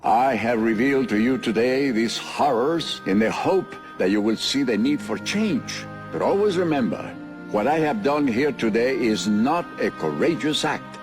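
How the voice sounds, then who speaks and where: A man's voice: mid-range voice, lively intonation, moderate pace, natural voice. A man voicing a solemn, self-important cartoon figure giving a pompous, earnest mock-heroic speech. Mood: solemn, grand. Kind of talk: dramatic oratory in animated comedy. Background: music.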